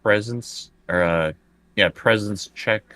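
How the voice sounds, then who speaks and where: Low-voiced man playing a tabletop game. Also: takes it slowly, bright, forceful tone. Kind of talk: casual game chat.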